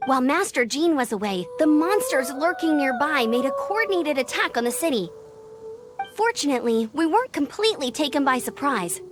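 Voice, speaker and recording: A woman voicing a cheerful, eager young female scout who talks in a bright, upbeat, bubbly way in a video game. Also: high voice, sing-song, a little fast, music in the background. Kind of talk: video game dub acting.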